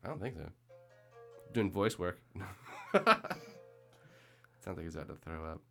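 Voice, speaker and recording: A man's voice: low voice, animated, measured pace, creaky, faint music behind. A man co-hosting a casual chat about a TV episode, joking and swearing. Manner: sarcastic, swearing, jokey. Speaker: podcast co-host. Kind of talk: casual chat watching a show.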